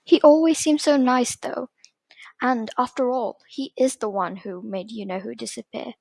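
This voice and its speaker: Woman acting a creaky-voiced, doubtful schoolgirl. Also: on the high side, normal speed, a little mellow, dry recording. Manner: questioning, hesitant. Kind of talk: audio drama character acting.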